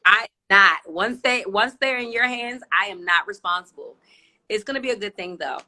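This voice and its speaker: Bright-voiced woman founder as guest, relaxed and joking, keeps saying "listen". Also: mid-range voice, normal speed, rough recording. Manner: laid-back, playful, says "listen" a lot. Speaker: guest, wine brand founder. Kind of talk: casual chat.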